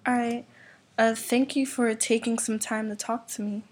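A woman's voice: on the high side, steady tone, moderate pace, slightly rough. A young woman interviewing someone, asking questions in a casual way with plenty of fillers.

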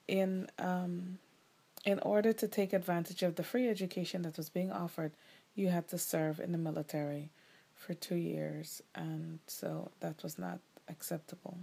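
A woman's voice: fairly low pitch, mellow. A middle-aged woman from Guyana, interviewed by her child, answering thoughtfully with many ums and restarts. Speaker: interviewee, the host's mother. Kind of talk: casual recorded interview.

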